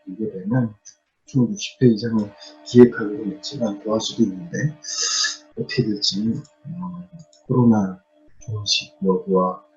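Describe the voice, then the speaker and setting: A man presents academic project results in a formal but halting manner. His voice sounds a little strained, with pauses and repeats. The recording is dull and lo-fi, with music playing behind him. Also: on the low side, warm tone. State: strained, uncomfortable voice. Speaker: humanities professor presenting.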